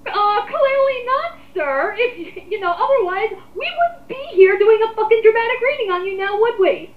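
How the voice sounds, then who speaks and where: A woman with a high-pitched voice talks in a roomy, muffled home recording. She co-hosts a commentary, rambling informally through her opinions. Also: animated, normal speed. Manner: rambling, informal, occasional swearing. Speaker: co-host of an online commentary. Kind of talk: casual opinionated chat.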